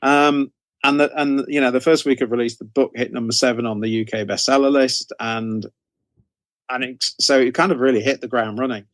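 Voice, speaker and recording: A man's voice: mid-range voice, steady tone, a little fast, dry recording. Male, 60s, British, interview guest telling career stories conversationally, circling back on phrases, dry recording. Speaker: interview guest, horror author.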